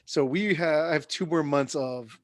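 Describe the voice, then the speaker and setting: A man's voice: a little high, a little slow, no room sound, studio quality. A man co-hosting a casual podcast chat, adding brief, offhand remarks. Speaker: podcast co-host.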